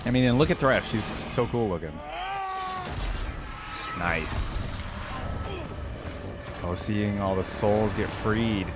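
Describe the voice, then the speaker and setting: A man giving casual, musing commentary as a reaction video host, often trailing off quietly. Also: fairly low pitch, takes it slowly, pauses often, very noisy. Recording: voice over loud game cinematic music.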